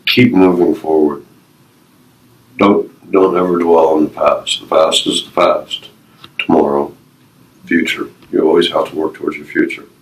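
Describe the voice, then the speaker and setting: Older navy vet guy in his 50s, really low voice, earnest life advice in short punchy lines. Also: flat delivery, unhurried, poor audio. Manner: earnest, emphatic, short repeated phrases. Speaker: retired US Navy veteran being interviewed. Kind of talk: personal storytelling and life advice to young interviewers.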